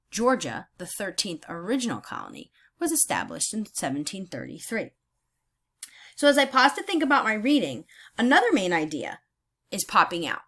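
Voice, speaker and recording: A woman reading aloud as a teacher, in a deliberate, explanatory manner with emphatic phrasing. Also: medium pitch, fairly bright tone. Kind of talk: instructional read-aloud of a children's history book.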